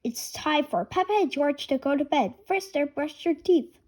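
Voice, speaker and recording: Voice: sits high, lively intonation, moderate pace, darkish tone. A person reading a children's storybook aloud, word by word. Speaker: reader of a children's picture book.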